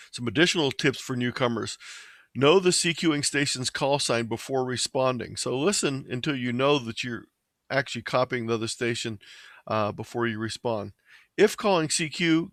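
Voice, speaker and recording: An American man presents a tutorial in a plain, step-by-step manner. His voice sits at an average pitch for a man. The recording is dry, with very little room sound. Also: a bit bright, dry recording. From Ohio, United States. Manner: plain, methodical explaining. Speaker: presenter of an amateur radio tutorial. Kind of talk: instructional slideshow presentation.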